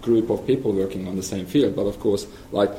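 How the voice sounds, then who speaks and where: A man with a Hungarian accent giving a conference presentation as a software engineer, hesitant and full of ums and restarts. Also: on the low side, a little fast, echoey room, a little hiss or hum, rough recording.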